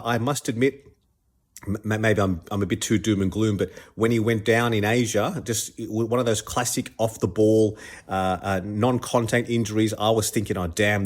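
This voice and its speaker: Congested man hosting a podcast. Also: lowish voice. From Australia. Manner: enthusiastic, many restarts and repeated words. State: stuffed up with a cold. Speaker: podcast host. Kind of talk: casual solo podcast commentary.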